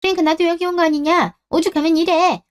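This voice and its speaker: A woman's voice: very high-pitched, fairly even intonation, quick pace, pitched above the performer's own voice. A woman voicing a callous older mother-in-law in a radio drama, with a haughty, reproachful, nagging delivery. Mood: indignant. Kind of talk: Korean radio-drama story acting.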